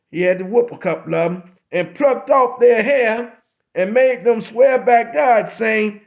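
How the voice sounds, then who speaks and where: Man, high voice, preachy, repetitive, reads verses slowly, scripture lesson. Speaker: religious teacher.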